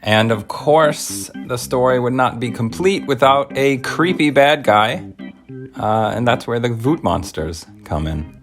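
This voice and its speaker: A man's voice: mid-range voice, animated, a little slow, soft background music. A man presenting his own podcast in a casual, explanatory trailer voice-over.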